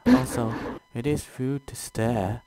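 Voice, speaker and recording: A man's voice: mid-range voice, huge pitch swings, slow pace, gravelly, noisy background, full character voice. A man voicing a seductive, theatrical female demon succubus with a coy, sultry delivery.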